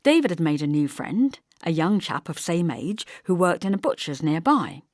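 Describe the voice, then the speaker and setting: A woman's voice: on the low side, lively intonation, moderate pace, a little mellow, no echo, studio quality. A woman reading scripted first-person literary narration as an audiobook narrator.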